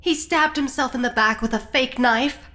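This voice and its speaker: A woman's voice: sits high, moderate pace, sharp tone. A woman playing an impatient, arch dinner guest with a dry manner in a comedy audio drama.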